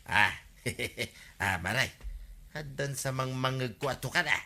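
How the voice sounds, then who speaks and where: A man's voice: mid-range voice, unhurried, creaky, pushed and bright, full character voice. An actor playing a chatty, cheeky village man with a Filipino accent, teasing and exclamatory in a radio comedy drama. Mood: playful, cheeky.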